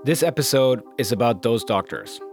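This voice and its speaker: A man hosting and narrating a documentary podcast in a measured, grave, explanatory way. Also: medium pitch, lively intonation, darkish tone, faint music behind.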